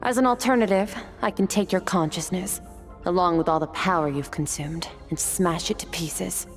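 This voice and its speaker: An actress playing a cool, ageless woman warrior, calm, detached and philosophical. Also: moderate pace, faint music behind, close to an everyday voice. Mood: stern. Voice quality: husky, low and composed. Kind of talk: video game voice acting.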